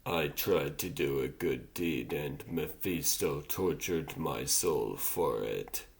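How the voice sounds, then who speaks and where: Comic-dub occult villain: male actor as soulless gentleman, extremely deep, slow, dry and courteous. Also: vocal fry, full character voice. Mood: dry, composed. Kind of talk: comic dub character acting.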